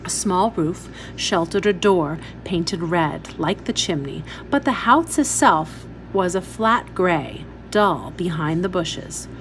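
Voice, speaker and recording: A woman's voice: lowish voice, slight background noise, low-quality recording. A woman reading a story aloud expressively, as a teacher would for pupils. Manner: instructive, pausing to point out details.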